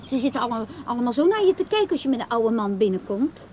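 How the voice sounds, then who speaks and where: A woman's voice: on the high side, big pitch swings, quick pace, dark tone, a little hiss or hum. A woman acting in a radio play as a blunt, bored young woman, curt and offhand with her older lover. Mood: dismissive. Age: young adult. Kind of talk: naturalistic radio play dialogue.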